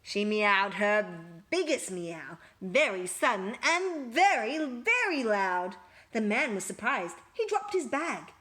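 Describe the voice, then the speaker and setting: A woman reading a children's picture book aloud with expressive, emphatic storytelling. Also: mid-range voice, takes it slowly.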